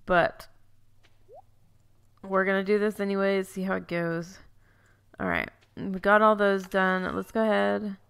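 A woman's voice: medium pitch, flat delivery, unhurried, creaky, long pauses, fairly bright tone, clean tone. A woman with a stuffy, congested voice, chatting casually as she plays through a farming game, thinking aloud and correcting herself. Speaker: let's play host. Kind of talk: casual gameplay commentary.

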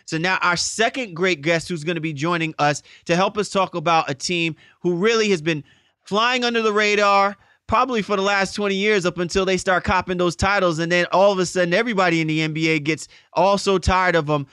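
A man's voice: high voice, fairly quick, pushed and bright, clean tone, dry recording, studio quality. A man hosting a sports podcast in an effusive, informal, conversational way. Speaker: podcast host.

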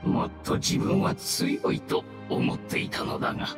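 Guy doing dying old fungus spirit in a game, breathy and weak, drags his words, music playing behind. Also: echoey room, lightly acted. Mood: weary, resigned. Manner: slow, archaic elderly speech, halting. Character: dying ancient mushroom spirit, weary, devoted old male. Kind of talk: dramatic game dialogue acting.

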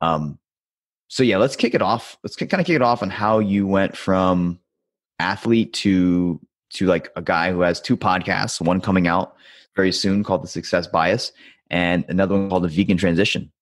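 Young male host, very deep voice, dry close sound, relaxed and chatty. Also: normal speed, dry recording. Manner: easygoing, laughs often, brief backchannels. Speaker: podcast host. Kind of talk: casual interview talk.

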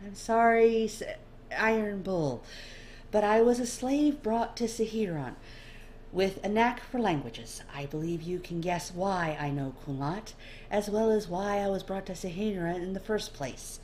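A woman's voice: mid-range voice, measured pace, a little mellow, pitched above the performer's own voice, lightly acted. Storybook ex-slave scribe, a woman's performance: meek, evasive, guarded, faint noise behind.

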